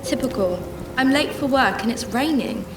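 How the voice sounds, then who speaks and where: Actress doing a kind troubled shelter volunteer in a radio play, highish lively voice, music behind. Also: female voice, moderate pace, distant mic, background noise. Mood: reflective, concerned. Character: compassionate, troubled woman volunteering at a homeless shelter. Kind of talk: first-person radio drama narration and dialogue. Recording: roomy sound with music behind.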